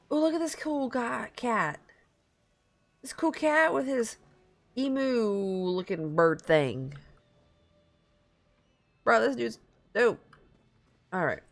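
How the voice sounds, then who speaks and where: Animated, creaky-voiced American woman streaming. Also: mid-range voice. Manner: informal, sweary, easily distracted. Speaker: live streamer. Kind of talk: casual gaming livestream chat.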